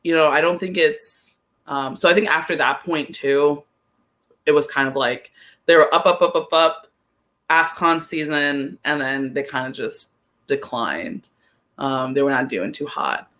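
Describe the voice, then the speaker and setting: Bright, forceful man talking soccer casually. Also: fairly high pitch. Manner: rambling, halting, self-correcting. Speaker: sports podcast co-host.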